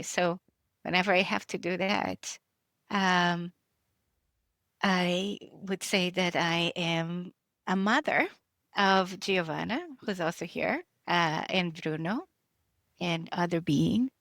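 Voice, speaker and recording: A woman's voice: fairly low pitch, fairly even intonation, takes it slowly, fairly bright tone, no room sound, clean studio sound. A middle-aged woman, an author and educator, talking casually with many fillers and restarts. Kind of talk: casual conversation.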